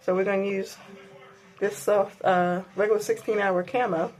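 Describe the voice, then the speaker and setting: A woman's voice: medium pitch, steady tone, moderate pace, a little mellow, faint music behind, poor audio. A woman with a sore throat and a stuffy voice, chatting casually through a makeup tutorial with many ums and likes. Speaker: beauty vlogger.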